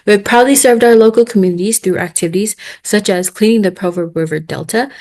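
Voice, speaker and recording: A woman's voice: medium pitch, fast talker, a little mellow. A young woman moderating a student panel, reading prepared thanks and announcements steadily and formally. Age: young adult. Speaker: event moderator.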